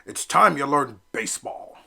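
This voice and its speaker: Brief: man playing a blustery dad duck who hollers from the sidelines, bright and animated. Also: mid-range voice, moderate pace, vocal fry, exaggerated voice. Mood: exasperated. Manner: loud and insistent. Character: gruff, sports-loving father duck.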